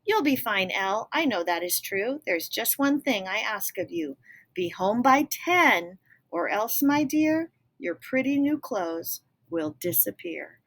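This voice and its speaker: A woman voicing a kindly, brisk old fairy godmother in a picture-book read-aloud, sing-song and reassuring. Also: medium pitch, animated, a bit bright.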